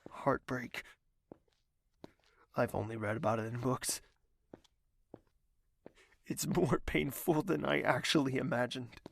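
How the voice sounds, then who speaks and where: An actor playing a proud, wounded young male royal with a fierce temper in an audio drama, speaking in an intense, imperious and deliberate way. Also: medium pitch, gravelly. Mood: pained, brooding. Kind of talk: dramatic audio drama acting with narrated inner thoughts.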